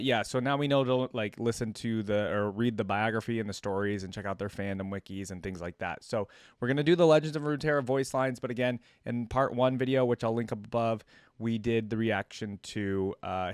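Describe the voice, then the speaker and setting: Low-voiced man, casual reaction commentary. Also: a little fast, smooth, dry recording, studio quality. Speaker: YouTube reactor hosting a video. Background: game voice lines and music.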